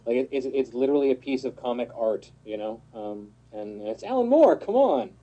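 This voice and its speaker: A man in his thirties with a mellow, warm tone chats on a panel. He sounds eager and joking, and his words tumble out with restarts. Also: mid-range voice, lively intonation, moderate pace, highs cut off. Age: 30s. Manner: enthusiastic, joking, stumbles over words. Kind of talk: casual panel chat.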